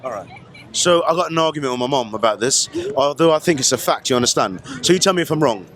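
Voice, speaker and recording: A man's voice: medium pitch, lively intonation, fast talker, a bit bright. A man interviewing people at an outdoor festival, chatty and teasing, with slangy filler and repeated phrases. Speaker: street interviewer. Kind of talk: casual street interview.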